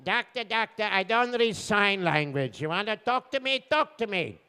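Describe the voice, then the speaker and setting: A man's voice: sits high, big pitch swings, normal speed, bright, forceful tone, clean tone, exaggerated voice. A man voicing a hard-of-hearing bus driver, puzzled and stubborn, arguing in broken grammar for a told story. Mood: bewildered. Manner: broken grammar, bewildered, argumentative.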